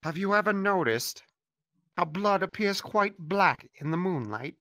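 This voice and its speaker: A man playing a calm, sinister male therapist who urges his patient toward cannibalism. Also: high-pitched, a little slow, no room sound, studio quality. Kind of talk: comedy skit acting.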